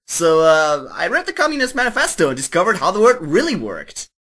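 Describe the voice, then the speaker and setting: A performer playing a zealous, self-righteous teenage schoolboy with a husky, strained voice in an amateur comedic reading. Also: medium pitch, huge pitch swings, a little fast, fairly bright tone, exaggerated voice. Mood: smug, earnest.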